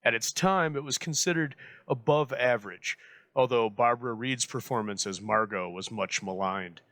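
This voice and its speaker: Guy host reading formal commentary, measured, bright forward voice, dry recording. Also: medium pitch, no echo. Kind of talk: scripted commentary on old radio drama.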